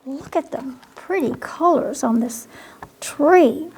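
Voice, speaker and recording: A woman's voice: high-pitched, takes it slowly, a little mellow, a little hiss or hum, poor audio. A woman reading a bedtime picture book to young children, expressively, with questioning asides to her listeners. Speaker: storytime reader at a library.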